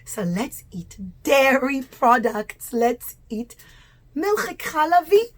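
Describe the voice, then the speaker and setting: Simple ancient villagers in a children's story, acted out by a woman, speak in a high, exclaiming voice. They wonder aloud with exaggerated, naive earnestness as they work things out. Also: sing-song, takes it slowly, exaggerated voice. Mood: decided, eager.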